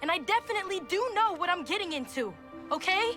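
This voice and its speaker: A defiant eighteen-year-old girl in a game cutscene. Her voice is high and bright, swinging up and down as she asserts herself with exasperated sass, with faint music behind. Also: lively intonation, fast talker, heavily acted. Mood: defiant, insistent. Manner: exasperated, assertive, youthful slang. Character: defiant, sassy eighteen-year-old daughter, headstrong idealist. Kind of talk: video game cutscene acting.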